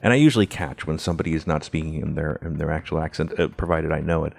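Podcast co-host, male, native Swedish speaker; very low pitch, loose and chatty. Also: fast talker, vocal fry. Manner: playful, mock-official opening, many fillers. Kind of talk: casual podcast chat.

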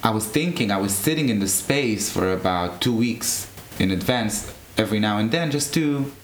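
A man speaks casually as an interview guest, his voice sitting fairly low. He talks in an animated way, laughs easily and often restarts his sentences. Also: normal speed, slight background noise. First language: Hebrew. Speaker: drag performer guest.

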